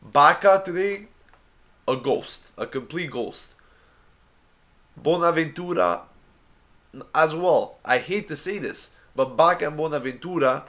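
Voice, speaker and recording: A man's voice: a little high, fairly bright tone. A man with a bad cold hosting a fan channel, giving an earnest, opinionated review of a football match he just watched.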